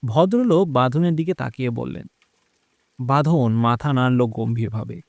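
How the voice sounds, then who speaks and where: A man's voice: medium pitch, normal speed, creaky, darkish tone, no room sound. A man reading a scripted, dramatic story as its narrator. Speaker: narrator of an audio horror story.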